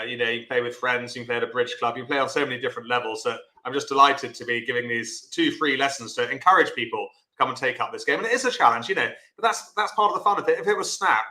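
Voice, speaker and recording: A man teaching an online bridge lesson, chatty and encouraging, explaining step by step in a loud voice. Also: on the high side, reverberant, low-quality recording.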